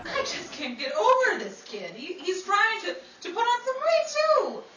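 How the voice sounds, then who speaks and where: Woman acting a sassy 1930s actress, high swooping voice, theatrical wisecracks, screwball radio comedy, echoey noisy room. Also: wildly swooping pitch, bright, forceful tone, heavily acted. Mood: amazed, wisecracking. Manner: fast-talking, theatrical, wordy wisecracks. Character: sassy, wisecracking 1930s New York actress named Betty. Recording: echoey, distant, noisy live room with crowd.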